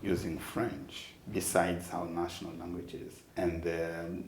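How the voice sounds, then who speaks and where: A man from Congo whose first language is Chiluba speaks in a very deep, flat voice. He explains slowly, stopping to search for words. The room is echoey, with a faint hiss behind him. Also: gravelly. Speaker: interviewee. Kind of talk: measured explanation.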